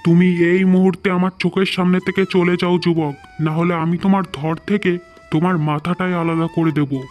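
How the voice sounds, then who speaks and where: A man's voice: high-pitched, fairly even intonation, moderate pace, a little mellow, faint music behind, natural voice. A man voicing a stern, threatening king in a fairy-tale cartoon, giving commands.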